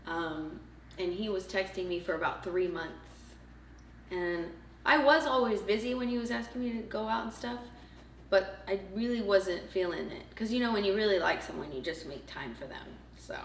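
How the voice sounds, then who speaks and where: A young adult woman from Montreal whose first language is French, a choreographer, telling a story in a relaxed, wry way. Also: medium pitch, moderate pace. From Montreal, Canada. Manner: relaxed, wry, laughing along. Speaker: professional choreographer. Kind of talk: casual storytelling. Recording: roomy, distant mic with faint noise.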